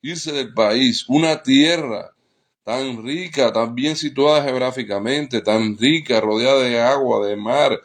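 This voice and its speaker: A man's voice: medium pitch, slow pace. A middle-aged man with a Cuban accent delivers an indignant, emphatic political monologue, repeating phrases for effect. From Cuba. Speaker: political commentator.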